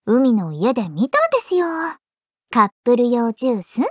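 High, bubbly anime girl. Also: big pitch swings, normal speed, dry recording, clean studio sound. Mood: cheerful, playful. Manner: playful, coy, cutesy. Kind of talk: Japanese anime mobile game character lines.

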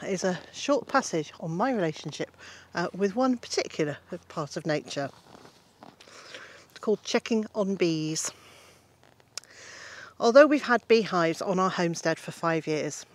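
A woman in her sixties, a gardening author and homestead host, reading a story from her own book aloud outdoors in a shady garden, with lively, animated emphasis. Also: medium pitch, no echo. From Britain. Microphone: camera-facing, mid-shot.

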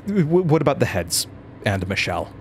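A man acting a troubled, sardonic radio host in a horror audio drama, halting and sighing. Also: mid-range voice, sing-song, a little mellow, a little hiss or hum. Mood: questioning. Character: troubled radio host, weary, sardonic, freshly released from custody.

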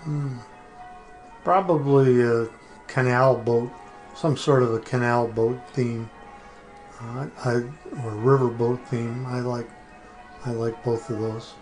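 Guy being interviewed, normal pitch, hesitant thoughtful answers, little bit of music. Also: steady tone, takes it slowly, pauses often, darkish tone, low-quality recording. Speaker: recurring interview guest. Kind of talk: casual off-the-cuff answers.

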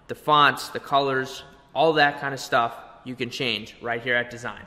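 A young man presenting a conversational software tutorial, explaining step by step with little check-in questions. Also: mid-range voice, steady tone, moderate pace. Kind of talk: conversational screen-share tutorial. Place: indoor room, webcam beside screen capture. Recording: long reverberant room echo.

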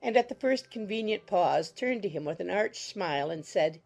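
A woman's voice: medium pitch, expressive, moderate pace, creaky, darkish tone, faint music behind. A woman reading scripted literary narration as the narrator of an audiobook.

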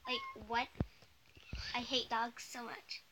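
A very noisy home recording has a woman acting out a stuck-up female friend in an amateur make-believe story. Her voice sits high. She talks in an indignant, dismissive, halting way, stuffed with filler words. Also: huge pitch swings, exaggerated voice. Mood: indignant, disgusted. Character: snooty female friend turned posh, dismissive dog-hater. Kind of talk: amateur improvised pretend-play story.

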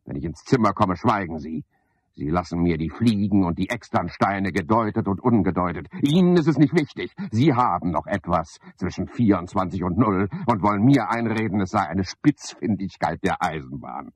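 An actor playing an eccentric, sardonic man in a radio play, rambling to himself and to unseen callers in a husky voice. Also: low voice, animated, moderate pace, dry recording. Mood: brooding, bitter. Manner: sardonic, brooding, musing aloud in fragments.

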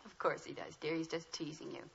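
A woman's voice: low voice, flat delivery, fast, creaky. A woman acting a patient but firm middle-aged American mother in a 1950s radio sitcom. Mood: gentle. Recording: muffled, hissy old radio recording.

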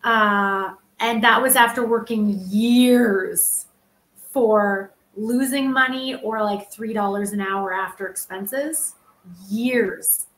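A woman's voice: mid-range voice, fairly even intonation, slow pace, fairly bright tone, reverberant, rough recording. A woman speaking on a discussion panel in an earnest, matter-of-fact way, piling up figures as she goes. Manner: earnest, piles up figures and restarts. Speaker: panel guest, former social seller.

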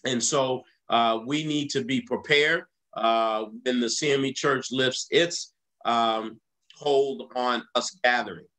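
A man's voice: mid-range voice, steady tone, a bit bright. A middle-aged man leads his congregation through the weekly announcements as their pastor. He talks in a mid-range voice over a video call and stops often on "uh" and "um" as he works through dates and times. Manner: halting, many "uh" fillers. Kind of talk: church announcements.

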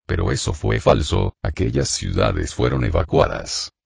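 A man playing an older shopkeeper father, stern but protective, with a gravelly, husky voice in an amateur drama dub. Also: sits low, wildly swooping pitch, measured pace, no echo, clean studio sound, heavily acted. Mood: stern, disapproving. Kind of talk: amateur Spanish dub acting.

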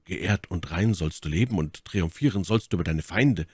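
A man's voice: deep voice, lively intonation, moderate pace, breathy edge, no room sound, studio quality. A man playing a fervent, infatuated young officer in an audiobook, impulsive and exclamatory. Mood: fervent devotion. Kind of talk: dramatic audiobook character acting.

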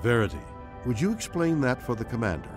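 An actor playing a formal, learned male spaceship AI, speaking in a measured, precise way for a game voice pack. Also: medium pitch, sing-song, natural voice. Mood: formal, obliging. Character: male ship's AI companion, formal, learned, helpful. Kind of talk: scripted game voice pack explanations. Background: music behind the voice.

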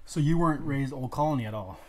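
A man in his thirties hosting a podcast, talking in a relaxed, chatty way during a casual interview. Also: medium pitch, fast. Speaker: podcast host. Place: small wood-paneled room indoors.

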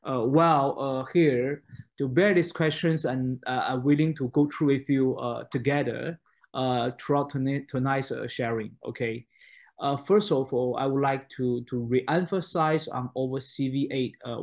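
A man's voice: medium pitch, steady tone, a little slow, a little mellow. A man in his thirties, a native Mandarin speaker, presenting a product on a video call from his home study, with many fillers and restarts. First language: Mandarin Chinese. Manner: hesitant, many fillers and restarts, frequent "okay?". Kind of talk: online product webinar. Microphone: webcam on a video call.

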